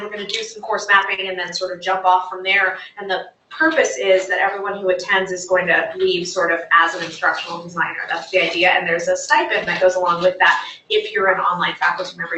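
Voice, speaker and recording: A woman's voice: medium pitch, bright, forceful tone. A woman giving upbeat announcements and introducing a presenter, with many fillers. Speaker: host introducing the workshop. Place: classroom or meeting room. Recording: echoey room, voice from far off, faint noise.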